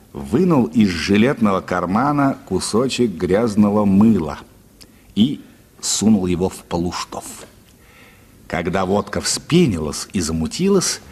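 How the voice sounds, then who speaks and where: A man's voice: fairly low pitch, darkish tone, breathy edge. A man narrating a literary short story for a radio play in a dramatic storytelling style.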